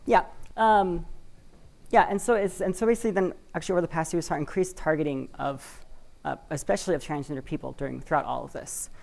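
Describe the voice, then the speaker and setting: A woman from Washington State speaks from a conference stage in a loose, conversational way, leaning on fillers and hedges. Her voice sits low with a gravelly, creaky edge. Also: quick pace, a little mellow. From Washington State, USA. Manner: conversational, many fillers and hedging words. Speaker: conference speaker for a community cooperative. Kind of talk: live conference talk. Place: conference stage, in front of an audience.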